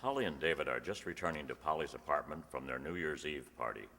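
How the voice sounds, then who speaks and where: A man with an extremely deep voice announces in old-time radio style. His tone is bright and forceful. The words are scripted and echo with a long hall reverb. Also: lively intonation, fast. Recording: reverberant hall stage recording.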